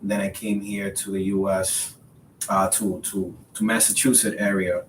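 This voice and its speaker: A man in his thirties from the Republic, a Spanish speaker, chatting in an interview in a halting way, repeating words. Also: level tone. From Dominican Republic. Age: 30s. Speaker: podcast guest, realtor. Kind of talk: casual interview conversation. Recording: roomy echo, distant mic, faint rumbling noise.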